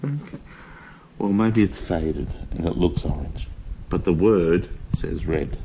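A man's voice: sits low, mellow, a little hiss or hum, low-quality recording. A man reading a picture book aloud to his young child, patiently asking coaching questions and drawing out the words he reads. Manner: patient, questioning, drawn-out sing-song when reading. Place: high-rise apartment living room.

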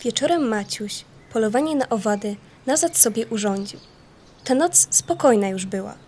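A woman narrating a scripted children's story in a folksy, expressive storytelling manner. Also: a little high, moderate pace, a little hiss or hum.

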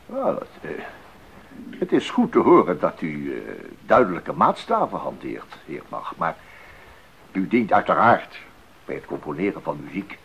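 A fussy, hesitant male official in a radio play, with a lowish, creaky voice. He is formal and halting, and his pitch moves about a lot. The sound is rather dull. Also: big pitch swings, a bit bright, heavily acted. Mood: officious, hesitant. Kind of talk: Dutch radio drama acting. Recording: dull 1980s radio recording.

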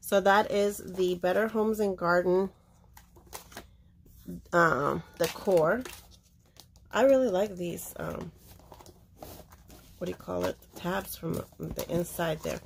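A woman talks casually with a slightly low, gravelly voice, rambling and trailing off mid-sentence. She sounds like she is leaning over a table of books, with a faint hiss behind her. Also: measured pace, long pauses. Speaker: seller showing books for auction. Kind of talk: casual show-and-tell.

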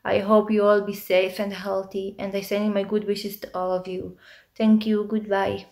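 A woman's voice: medium pitch, level tone, normal speed, darkish tone. A young woman in her twenties, a former student union head, giving a plain, formal greeting on a webcam indoors. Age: 20s. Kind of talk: recorded video greeting. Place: room indoors by a plain wall. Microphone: webcam on a video call.

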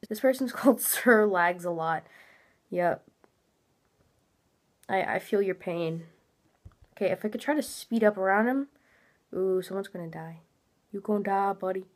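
Chatty congested teenage girl gamer. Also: mid-range voice. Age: teens. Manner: chatty, rambling, many fillers. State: congested, a little nasal. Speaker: gaming channel host. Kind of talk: casual gameplay commentary. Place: small room at home, indoors.

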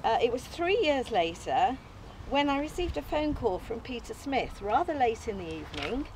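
A woman's voice: on the high side, sing-song, normal speed, a little mellow. Older woman, outdoor speech, earnest, modest, faint background noise, distant camera. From England. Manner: earnest and modest, with small hesitations and light laughs. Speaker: allotment group founder giving an opening speech. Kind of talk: prepared speech read from notes. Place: outdoors on an open field site. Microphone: camera at a distance, no mic.

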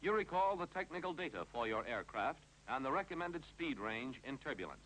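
A man's voice: mid-range voice, big pitch swings, fairly quick, fairly bright tone. An American man reading scripted instructional narration for a 1950s training film, with a clipped, authoritative delivery. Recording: noisy 1950s film soundtrack with hum.

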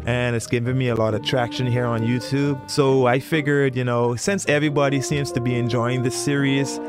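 A young man talks casually as an online video host, with a mid-pitched voice. He sounds playful and innocent, close on a bedroom mic, and music plays clearly behind him. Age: young adult. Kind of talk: casual video chat and announcements to viewers. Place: small bedroom. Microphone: boom-arm studio mic and headphones.

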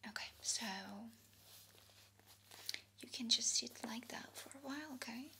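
A woman in her twenties whispering gentle instructions in an ASMR personal-attention role-play, close to the microphone. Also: on the high side, fairly even intonation. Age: 20s. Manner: soft, slow, gentle instructions with pauses. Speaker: ASMR creator, sketch artist role-play. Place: indoor studio with plain dark backdrop.

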